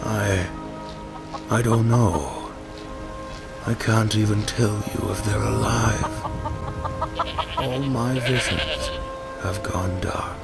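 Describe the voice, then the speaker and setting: An actor playing a weary, regretful male fortune teller who follows the player in a fantasy game, speaking slowly and solemnly. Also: fairly low pitch, creaky. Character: weary older male seer, grave and brooding. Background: loud game music.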